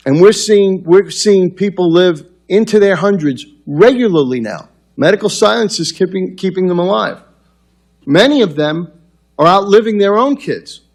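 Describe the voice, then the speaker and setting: A middle-aged man with a New York City accent addresses a public forum from a podium in a large chamber, talking conversationally with frequent fillers and restarts. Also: high-pitched. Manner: conversational, many fillers and restarts, animated hand gestures. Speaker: mayor presenting at a public forum. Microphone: podium microphone, far camera.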